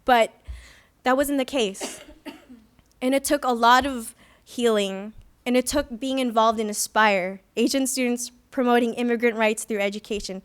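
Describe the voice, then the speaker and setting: A woman's voice: fairly high pitch, fairly even intonation, a little slow, smooth. A young woman from the Philippines giving an earnest, reflective personal testimony at a conference, with light self-deprecating humor. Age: young adult. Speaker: student activist speaker. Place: conference hall.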